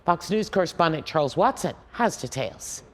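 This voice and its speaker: A woman's voice: deep voice, animated, moderate pace. A woman reading scripted TV news as an anchor.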